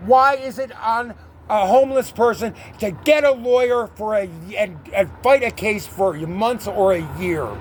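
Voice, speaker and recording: High voiced guy, pleading fast and cursing, loud traffic noise outside. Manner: urgent, pleading, swears often. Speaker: unhoused man talking to an outreach worker. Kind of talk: unscripted street conversation. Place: outdoors on a city street. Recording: street noise, traffic outdoors.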